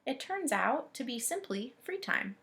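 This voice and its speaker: A woman reading aloud as a teacher, expressive and instructive, pausing to explain things. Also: high-pitched. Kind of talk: read-aloud lesson with commentary.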